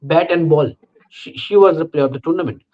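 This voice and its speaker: A man's voice: fairly high pitch, fairly quick, a little mellow, highs cut off. A man, earnestly making his case as a cricket analyst in a casual live Q&A, often leaning on "you know" and "I think".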